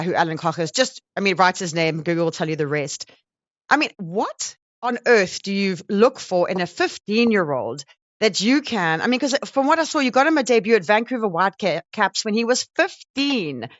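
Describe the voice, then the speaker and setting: A woman's voice: medium pitch, a bit bright, dry recording, dull audio. A woman with a South African accent hosting an interview in a chatty, informal, effusive way. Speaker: radio or show host interviewing a guest. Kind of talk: conversational interview.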